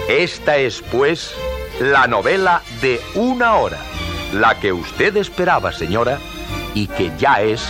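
A man with a Castilian accent reading a solemn, declamatory announcement in the style of an old Spanish radio drama broadcast. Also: mid-range voice. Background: loud music.

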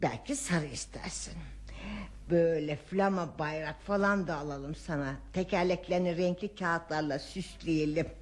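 A woman's voice: low-pitched, moderate pace, close to an everyday voice. An actress playing an elderly housewife and grandmother in a radio play, scolding and teasing with frequent exclamations. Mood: exasperated scolding. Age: older. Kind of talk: radio theatre acting.

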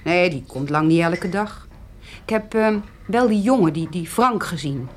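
A gossipy village lady in a radio play, lowish voice, natural and chatty. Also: animated, a little slow, slight background noise. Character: gossipy, caring village woman. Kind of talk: naturalistic radio drama acting.

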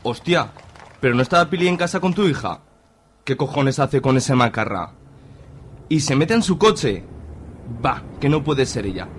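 A man's voice: mid-range voice, very animated, moderate pace, long pauses, a little hiss or hum, close to an everyday voice. A man acting a coarse, nosy middle-aged conservative father with a Spanish accent in a radio drama. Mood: shocked. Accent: Spain. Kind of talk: colloquial radio drama dialogue.

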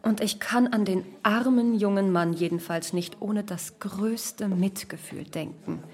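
An actress playing a soft-hearted young wife in a radio play, speaking earnestly with sympathy. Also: female voice, mid-range voice, measured pace, husky. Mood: sympathetic.

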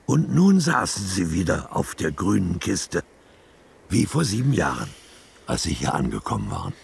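Very deep, gravelly male narrator. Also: wildly swooping pitch, moderate pace, a little hiss or hum. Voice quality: gravelly, raspy. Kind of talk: scripted literary radio drama narration.

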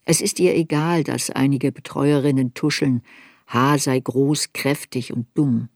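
A woman's voice: very deep voice, steady tone, measured pace, darkish tone, no echo, clean studio sound, exaggerated voice. A woman playing a reflective narrator who looks back on a girl's story in a literary radio play. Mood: sober, intense.

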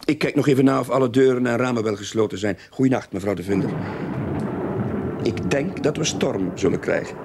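An actor playing a reluctant, sceptical novice detective in a radio drama, gravelly and awkwardly polite. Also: male voice, mid-range voice, brisk, noisy background, close to an everyday voice. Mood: wry, uneasy. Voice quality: gravelly, husky. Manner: hesitant, colloquial, grumbling.

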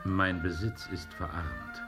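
A man's voice: deep voice, sing-song, takes it slowly, creaky, over loud music, exaggerated voice. An actor playing a meek, impoverished nobleman in a radio play, formal and courteous. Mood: meek candour. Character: meek, impoverished nobleman caught cheating, coolly polite. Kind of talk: German radio play acting.

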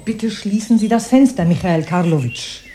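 An actress playing a strict, imperious doctor in a radio drama, giving clipped orders. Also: female voice, medium pitch, lively intonation, normal speed, mellow, lightly acted. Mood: commanding, indignant. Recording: faint ambience with birdsong.

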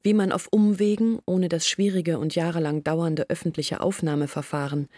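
An actress as earnest young Olga. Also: female voice, fairly low pitch, steady tone, moderate pace, mellow, no room sound, studio quality, natural voice. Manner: earnest confessional telling. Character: young village woman Olga, earnest and weary. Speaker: lead character in radio play. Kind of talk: radio drama acting.

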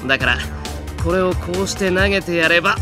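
A man's voice: sits high, a little fast, loud music behind the voice, natural voice. A man voicing a mischievous, sadistic fairy who speaks politely with gleeful, mocking amusement at humans, in an anime-style audio drama. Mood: amused, nonchalant.

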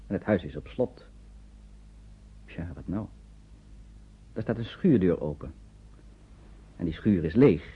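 Low-voiced male narrator, dull old recording. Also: slightly rough. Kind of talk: scripted radio play narration.

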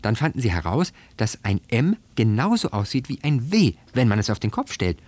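Animated man reading a children's story. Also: mid-range voice, moderate pace, no room sound. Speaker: story reader.

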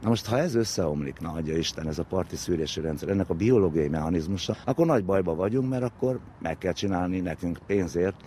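A man's voice: sits low, animated, hardly any pauses, dark tone. A man speaking as an expert, explaining things informally with vivid comparisons. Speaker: expert interviewee. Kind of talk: spoken explanation, informal lecture-like. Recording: faint background noise and ambience.

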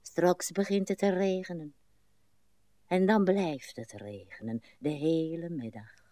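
An actress playing a weary, morbid, rambling old woman in a radio play, with slow, plaintive delivery. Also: on the low side, dark tone, dry recording, lightly acted. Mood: gloomy. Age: older.

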